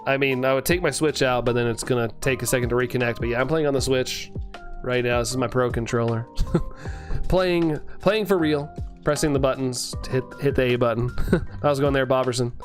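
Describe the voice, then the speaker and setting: A man's voice: mid-range voice, fairly even intonation, brisk, soft background music. Chatty young man with a fading voice. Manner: chatty, laughs often, repeats phrases. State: voice keeps giving out. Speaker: streamer. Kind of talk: casual live-stream chat reading comments.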